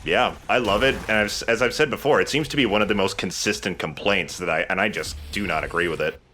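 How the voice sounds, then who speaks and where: A man's voice: lowish voice, fast, sharp tone, a little hiss or hum. An enthusiastic man giving casual let's play commentary as he plays a video game. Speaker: let's play host and player.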